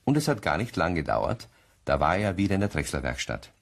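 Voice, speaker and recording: A man narrating a children's radio play in a deep, husky voice, telling the tale in a leisurely storybook way. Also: big pitch swings, a little fast, exaggerated voice. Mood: storytelling.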